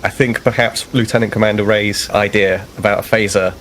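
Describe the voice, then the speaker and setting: A man's voice: on the low side, fairly even intonation, fairly quick, a bit bright, slight background noise, close to an everyday voice, poor audio. A man voicing a formal, deferential newcomer officer in a tabletop game, speaking in stiff, polite phrasing.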